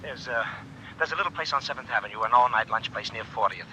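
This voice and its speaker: Secret lover for old radio thriller, male: urgent, wary, piercingly bright mid-range voice, faintly noisy recording. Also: mid-range voice, quick pace, lightly acted. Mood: alarmed, reluctant. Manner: urgent, repetitive protests. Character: cautious, exasperated secret lover, adult man. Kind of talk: old-time radio drama acting.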